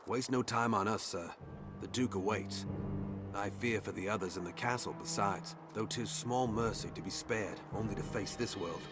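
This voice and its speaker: Man acting a grave soldier under loud music. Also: fairly low pitch, normal speed, lightly acted. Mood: grim, solemn. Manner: solemn, archaic, deferential. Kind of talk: dramatic fantasy game acting.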